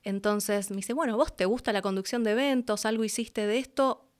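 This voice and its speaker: A woman's voice: on the high side, fairly quick. A woman with an Argentine Rioplatense accent telling stories conversationally as an interview guest, acting out bits of dialogue as she goes. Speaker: interview guest, voice-over artist and producer. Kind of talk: casual conversational storytelling.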